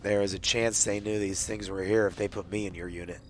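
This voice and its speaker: A man's voice: on the low side, fairly even intonation, brisk. A man playing a tabletop roleplaying game, speaking in character as part of a squad. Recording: music playing underneath.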